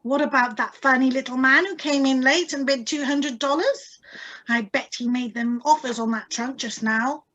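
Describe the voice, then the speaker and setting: A woman plays an excitable wife in a radio suspense play. Her voice is high, and she is stubborn, eager and wide-eyed in her insistence. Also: moderate pace. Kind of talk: radio drama script reading. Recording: live video-call recording.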